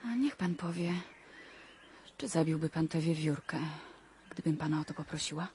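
A woman's voice: low voice, normal speed, mellow, a little hiss or hum, close to an everyday voice. An actress playing a capricious, provocative young well-born woman in a radio drama, restless, teasing and impulsive.

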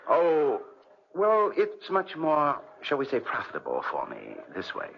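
A blackmailer in a 1940s radio drama. His suave, insinuating lines swing very widely in pitch. The aged recording is dull and faintly noisy. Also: huge pitch swings, vocal fry, heavily acted. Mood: smug. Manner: suave, insinuating, deliberate phrasing. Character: smug, calculating male blackmailer.

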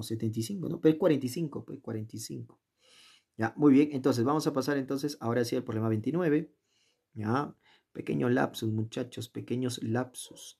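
A man's voice: medium pitch, vocal fry, mellow, slightly rough. A man tutoring geometry in a casual, chatty way, often asking the viewer questions and talking himself through the steps. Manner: chatty, asks the viewer questions, talks to himself while solving. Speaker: math tutor. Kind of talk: casual worked-problem lesson. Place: indoors at a desk, filming the worksheet from above.

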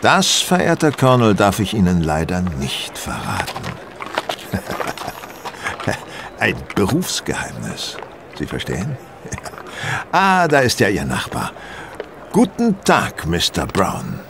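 A man playing a shrewd, self-assured detective who explains things deliberately in a German audio drama. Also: sits low, wildly swooping pitch, creaky, noisy background, full character voice. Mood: assured, playful.

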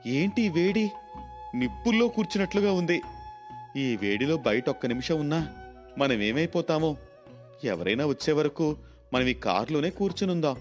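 A sulky rich young man, acted by a male storyteller, full of whiny indignation. He grumbles like a pampered son in a moral storybook, with clear music playing behind him. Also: a little high, animated, moderate pace, pauses often, lightly acted. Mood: overheated, distressed. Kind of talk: Telugu moral storybook acting.

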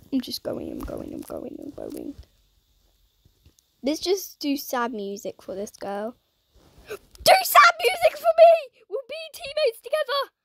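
Woman, high voice, very lively pitch, croaky, slow delivery, silly game commentary. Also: dry recording. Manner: dramatic, silly, swings between shouting and whispering. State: croaky, strained voice. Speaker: gaming YouTuber.

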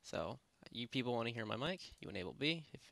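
A man narrating a software tutorial in a casual, unscripted, rambling way, speaking informally with lots of filler phrases. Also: medium pitch, lively intonation, fast talker, vocal fry, no echo. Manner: rambling, informal, repeats "basically" and "you know". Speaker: tutorial presenter. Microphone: close headset microphone.

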